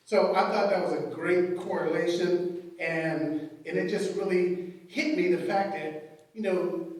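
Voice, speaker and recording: A man moderating a church panel discussion, speaking conversationally with frequent fillers. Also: high-pitched. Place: church sanctuary. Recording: echoey church hall, distant mic.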